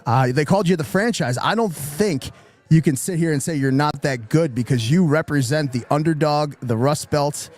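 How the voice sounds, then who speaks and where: A man's voice: fairly high pitch, normal speed. A man hosting a casual podcast, asking long rambling questions full of restarts. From Western New York. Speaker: podcast host.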